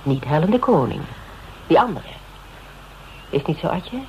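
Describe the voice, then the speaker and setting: A woman's voice: low-pitched, sing-song, a little slow, darkish tone, exaggerated voice. An actress playing a shrewd, mocking female interrogator in a radio drama, with a needling, conversational manner. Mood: accusing, probing. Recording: noisy radio drama recording.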